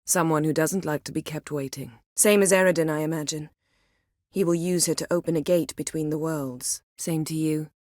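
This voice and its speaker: Woman acting a low-voiced, imperious sorceress. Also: steady tone, moderate pace, a little mellow, no room sound, natural voice. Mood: composed, commanding. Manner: imperious, dry, sardonic, formal diction. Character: haughty, sharp-tongued, commanding sorceress in a fantasy world. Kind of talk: fantasy video game voice acting.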